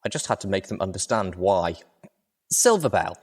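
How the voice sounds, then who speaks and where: A man's voice: sits low, steady tone, brisk. A man reading a story aloud as narrator, in a dramatic first-person style.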